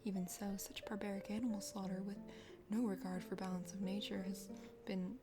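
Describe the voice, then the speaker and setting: A woman voicing a chatty, condescending dragon sister from a forest kingdom in a video game, speaking formally in a soft ASMR whisper. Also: medium pitch, level tone, brisk, voice pushed down, close to an everyday voice. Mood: amused, condescending. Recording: music behind the voice.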